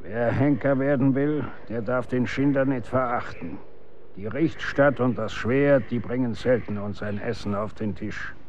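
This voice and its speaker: Guy playing stern middle-aged hangman, measured, admonishing, medium pitch, dull radio drama sound with faint noise. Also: darkish tone, lightly acted. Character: stern, worldly executioner and knacker, cautioning father. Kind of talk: German historical radio play acting.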